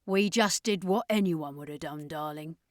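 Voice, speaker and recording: A woman's voice: low-pitched, moderate pace, dry recording, clean studio sound, close to an everyday voice. A woman voicing a worldly, affectionate circus heroine with a drawling manner in an audiobook. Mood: breezy. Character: warm, worldly circus woman who calls everyone darling.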